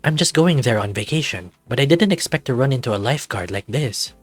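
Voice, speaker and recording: A man's voice: mid-range voice, animated, fairly quick, no echo, soft background music, close to an everyday voice. Man voicing a composed, self-assured dragon lawyer. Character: suave, proud male dragon lawyer in a suit.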